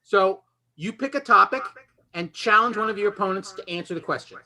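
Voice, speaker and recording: A man hosting a quiz show in a lively, partly improvised style, introducing and explaining as he goes. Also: high-pitched, brisk. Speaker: game show host.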